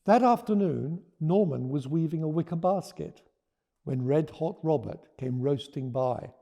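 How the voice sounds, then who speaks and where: Mellow, slow-paced man reading a storybook. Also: a little high, animated, gravelly. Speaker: children's author reading his book aloud. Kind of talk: expressive storybook read-aloud with teaching notes.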